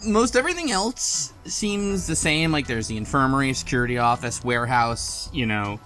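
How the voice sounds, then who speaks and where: A man hosting a casual podcast discussion of a game story, thinking aloud in an animated, conversational way. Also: medium pitch, normal speed, faint music behind. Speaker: podcast host.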